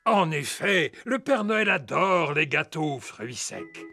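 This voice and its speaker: A man voicing a jolly, excitable old grandfather pig in a preschool cartoon, hearty and exclamatory. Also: on the high side, takes it slowly, vocal fry, music in the background, full character voice.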